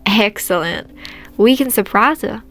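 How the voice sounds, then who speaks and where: A woman's voice: mid-range voice, measured pace, fairly bright tone, faint music behind, natural voice. Woman voicing a cheeky, confident teenage girl. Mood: teasing.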